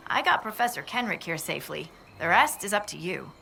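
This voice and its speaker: A practical scout, echoing. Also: female voice, lowish voice, sing-song, a little fast, creaky, bright, forceful tone, heavily acted. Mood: matter-of-fact. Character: practical, watchful female scout. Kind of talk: video game dialogue. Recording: reverberant space, faint ambient noise.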